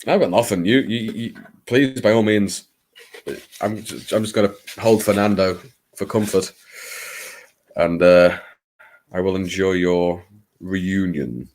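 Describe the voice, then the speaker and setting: A man with a fairly low voice hosts a casual live stream. He talks to viewers in a theatrical, playful way, dropping jokey asides and the odd swear word. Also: steady tone, measured pace, pauses often, darkish tone. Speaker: livestream host. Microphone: streaming microphone, not his usual video mic.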